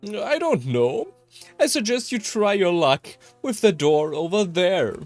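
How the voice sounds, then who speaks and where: A performer voicing an eerie, playful attendant in a visual novel, coy and knowing, with teasing, drawn-out exclamations. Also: medium pitch, very animated, no echo, soft background music, pitched above the performer's own voice. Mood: breezy, teasing.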